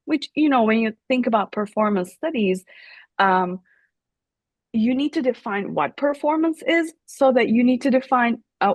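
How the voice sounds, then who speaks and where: A woman's voice: fairly high pitch, no room sound. A woman professor whose first language is Turkish, answering interview questions thoughtfully with many fillers and restarts. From Turkey. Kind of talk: academic interview.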